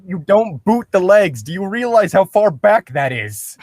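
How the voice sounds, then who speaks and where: Sarcastic man, higher-pitched, dry sound. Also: sing-song, unhurried, darkish tone, no room sound. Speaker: co-host commenting on a story reading. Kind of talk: casual mocking banter.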